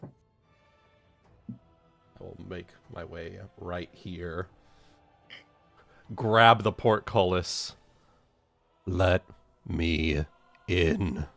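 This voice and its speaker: A man's voice: low voice, fairly even intonation, extremely slow, creaky, pauses often, a bit bright, soft background music. A man chatting casually as a player in a tabletop role-playing game.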